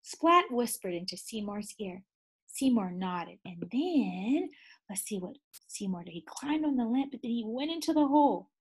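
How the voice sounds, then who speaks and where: A woman teacher reading a picture book aloud to young children, pausing to ask them questions, with gasps and sighs. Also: on the high side, expressive, breathy edge. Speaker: second grade teacher.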